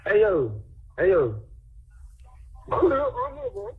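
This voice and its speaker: Male phone voice, very husky and high, swinging pitch, casual slang over a tinny line. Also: huge pitch swings, slow. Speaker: party on a jail call.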